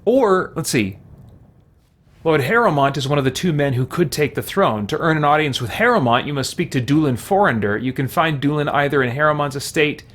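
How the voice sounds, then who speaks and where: A man's voice: a little high, expressive, fairly quick, a bit bright. A man streaming a game with casual let's play commentary, thinking aloud and reading quest text. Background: game music.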